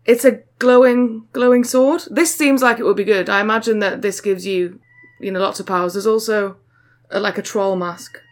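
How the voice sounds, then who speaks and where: A woman's voice: medium pitch, steady tone, moderate pace. A woman hosting a podcast, joking her way through card art in casual chat. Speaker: podcast host.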